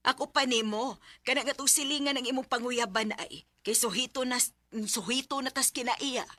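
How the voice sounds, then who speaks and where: A woman acting in a Cebuano-accented radio drama as a nosy, teasing mother with a sharp, husky voice. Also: high-pitched, normal speed, no echo. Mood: teasing, probing.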